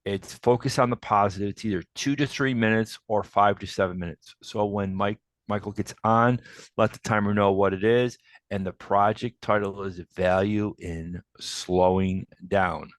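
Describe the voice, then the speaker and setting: Man, lower voice, plain upbeat speaker introduction, dry room. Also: steady tone, moderate pace, no echo. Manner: plain, enthusiastic, repeats phrases. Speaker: club member introducing a speaker. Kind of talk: informal introduction remarks.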